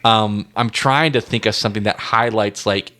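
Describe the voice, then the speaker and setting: A man's voice: fairly low pitch, fairly even intonation, normal speed, pushed and bright. A man co-hosting a casual music discussion, talking in a hesitant, self-deprecating, indecisive way. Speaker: music podcast co-host.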